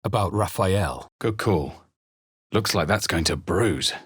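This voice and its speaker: A man playing a brooding, grave fantasy adventurer in a video game, speaking with measured, solemn theatricality. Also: deep voice, expressive, a bit bright, husky, no room sound, clean studio sound, exaggerated voice. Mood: musing. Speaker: video game player character. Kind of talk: dramatic fantasy game narration, barks and spell incantations.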